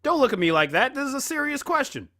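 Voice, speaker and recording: A male reaction host with a high voice that swings widely as he talks. He rambles casually and exclaims in an animated way, like someone commenting on a video as it plays. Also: fast, loud music behind the voice.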